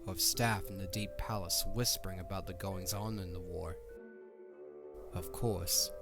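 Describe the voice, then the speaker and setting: A man reading scripted narration for a fantasy audio drama. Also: deep voice.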